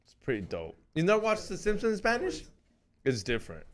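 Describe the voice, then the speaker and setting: A man's voice: high-pitched, wildly swooping pitch. A man co-hosting a podcast in casual chat, pressing with insistent questions. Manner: rapid-fire, insistent questioning.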